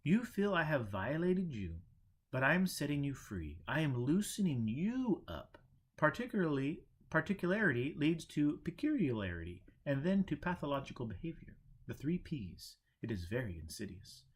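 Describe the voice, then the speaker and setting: Storybook monk mentor, played by a male narrator: didactic, teasing, deliberate delivery, unshakeably sure of himself. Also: fairly high pitch, big pitch swings, husky, voice pushed up. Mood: preachy. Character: imperturbable male monk teacher, preachy and teasing.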